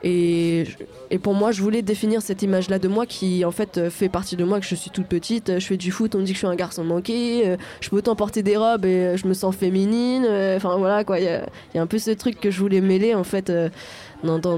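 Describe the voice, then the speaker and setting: A woman's voice: mid-range voice, fairly even intonation, fast, dark tone. A young woman from France, a singer-songwriter answering radio interview questions, hesitant with many fillers and restarts.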